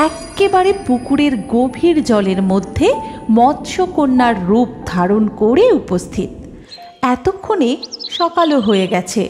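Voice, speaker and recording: Animated, high-voiced woman narrator. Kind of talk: scripted storybook cartoon narration.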